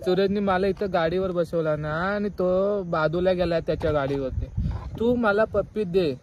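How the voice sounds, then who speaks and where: A man's voice: high-pitched, moderate pace, highs cut off. A young man on a casual prank call, pleading and rambling as though drunk and heartbroken. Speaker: prank caller, vlogger. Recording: noisy amateur phone-shot vlog audio.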